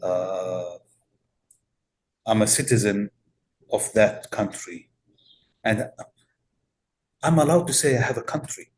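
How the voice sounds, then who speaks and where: A man with a Palestinian accent speaks slowly and carefully, his voice sitting a little low. He pauses often and fills the gaps with soft "uh"s, choosing each word like a pastor giving a thoughtful answer in an interview. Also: animated. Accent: Palestinian Arabic. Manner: measured, deliberate, many pauses and "uh" fillers.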